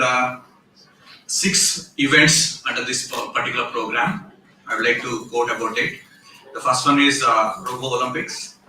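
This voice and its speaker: A man, a vice principal giving a formal welcome speech, often pausing on "uh" while thanking dignitaries. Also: mid-range voice, pushed and bright. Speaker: vice principal and department head. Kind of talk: formal welcome speech at a college tech fest. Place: large hall. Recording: echoey hall from far off, faint noise.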